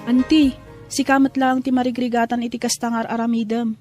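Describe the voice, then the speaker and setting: An actress playing a caring, pious niece who gently admonishes, in an Ilocano drama with an Ilocano accent. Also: female voice, a little high, flat delivery, brisk, over music, close to an everyday voice. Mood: reproachful, concerned. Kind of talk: Ilocano radio-style drama acting.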